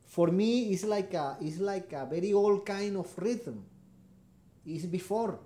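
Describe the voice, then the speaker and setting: A man from Venezuela with a Venezuelan Spanish accent, a harp player answering interview questions in halting English, often repeating short yeses. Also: high-pitched, warm tone, echoey room.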